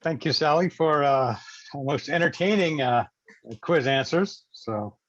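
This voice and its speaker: A man chairing an online club meeting, making informal remarks in a halting, hesitant way. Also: on the high side, lively intonation, no echo. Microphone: video call microphone. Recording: dull, lo-fi video call audio.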